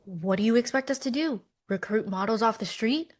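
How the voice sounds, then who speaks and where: An actress playing a practical, doubting millennial twin sister in a romcom script read. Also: female voice, fairly high pitch, normal speed, natural voice. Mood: skeptical.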